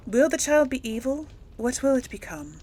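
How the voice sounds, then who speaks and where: A woman's voice: high-pitched, very animated, moderate pace, dark tone. A woman hosting a casual role-play game playthrough, thinking aloud and hesitating as she goes. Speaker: video game player and series host.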